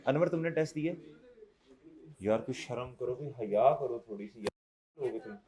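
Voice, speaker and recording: A man, lecturing accounting students in a hall and loudly teasing and scolding them. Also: medium pitch, expressive, normal speed, noisy background. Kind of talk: lively classroom lecture.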